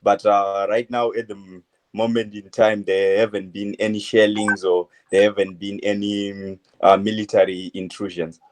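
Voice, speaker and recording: A man in his twenties, from Zimbabwe, talks on a remote line as an interviewee. His voice sits a little low. He hesitates constantly, stringing phrases together with uh and um. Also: flat delivery, a little mellow. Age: 20s. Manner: hesitant, many uh fillers, halting phrases. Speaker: interviewee, medical student. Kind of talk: phone interview.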